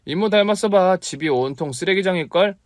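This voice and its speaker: Nagging tidy little boy, read by a man, pitched up, dry. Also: fairly quick, dry recording, natural voice. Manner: nagging, childish. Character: fussy, tidy young boy nephew.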